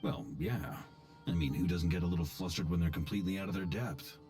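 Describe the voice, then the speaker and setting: An actor plays a charming young male adventurer in a fantasy game who plays himself down. His voice is deep, his delivery casual and wry. Faint music runs underneath. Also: fairly quick, warm tone, lightly acted.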